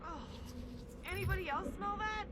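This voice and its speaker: A woman's voice: very high-pitched, moderate pace, background noise. An actress playing a stern female alien assassin in a video game, dry-witted, pointed and no-nonsense.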